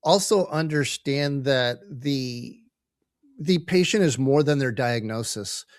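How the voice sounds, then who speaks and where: A man giving an earnest, personal interview as a podcast guest, speaking in a halting, reflective way with pauses and repeated words. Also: medium pitch, expressive, no echo, clean studio sound. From United States. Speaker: podcast guest, family caregiver.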